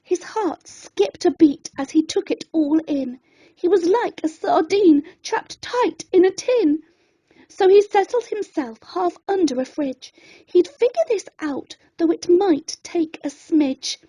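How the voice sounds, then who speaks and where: A woman reading a rhyming children's bedtime story in a dramatic, expressive way. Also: very high-pitched, takes it slowly, poor audio.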